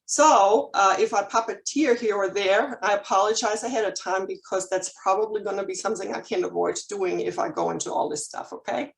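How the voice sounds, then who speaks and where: A woman's voice: medium pitch, brisk, creaky, hardly any pauses, poor audio. A German-accented woman in her forties, a licensed counselor giving a candid presentation to a live audience, with many ums and restarts. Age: 40s. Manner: candid, frequent ums and restarts, nervous humor. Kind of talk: chamber of commerce presentation.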